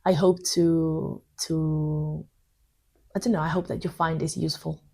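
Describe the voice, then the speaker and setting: A woman's voice: low voice, level tone, a little slow, vocal fry, dark tone, breathy edge. A young woman from southern Spain, a native Spanish speaker, talks through an informal English lesson in a thoughtful, rambling way with many fillers. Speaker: English teacher.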